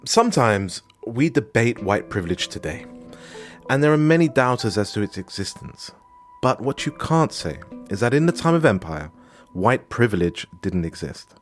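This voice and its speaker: A man's voice: medium pitch, sing-song, normal speed, soft background music. A man from Morocco hosting a podcast, giving scripted documentary narration in an emphatic, personal storytelling manner. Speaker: podcast host.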